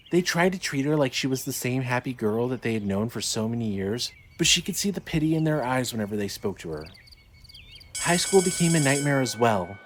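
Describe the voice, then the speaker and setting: A man narrating scripted fiction in a dramatic storytelling style. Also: mid-range voice. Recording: light noise, ambience behind.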